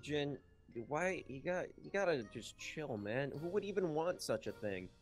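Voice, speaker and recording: A man's voice: fairly high pitch, animated, unhurried, soft background music. A man voicing a laid-back narwhal roommate in an improvised gaming roleplay.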